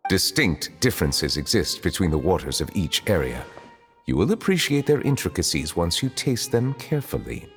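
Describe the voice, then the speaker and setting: A man playing a solemn, courteous dragon judge in human form for a video game, speaking formally and contemplatively in a smooth, measured voice. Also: low voice, big pitch swings, big-room sound, faint music behind. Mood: earnest, courteous. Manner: formal, reserved, contemplative, precise diction. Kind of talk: video game character dialogue.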